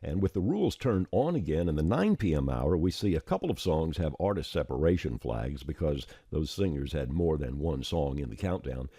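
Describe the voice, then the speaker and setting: A man presenting a software tutorial as a step-by-step screencast voice-over, plain-spoken and informal, on a close mic. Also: very deep voice, very animated, moderate pace, few pauses, husky, no room sound.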